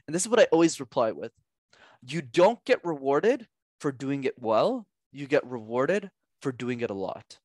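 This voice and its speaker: A young man, a podcast guest and communication coach, giving energetic coaching advice with lots of emphasis and repetition over a headset mic at home. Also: mid-range voice, normal speed, no room sound. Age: young adult. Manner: animated, gestures a lot, drives points home with repetition. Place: small room at home.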